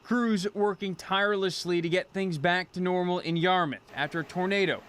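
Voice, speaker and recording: A male reporter narrates a scripted news package. His voice is high for a man, in clear broadcast phrasing. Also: normal speed, fairly bright tone, a little hiss or hum.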